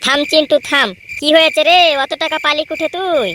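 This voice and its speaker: A woman voicing an excitable, blunt young villager in a comedy cartoon. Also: sits high, a little fast, noisy background, close to an everyday voice. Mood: fretful, surprised.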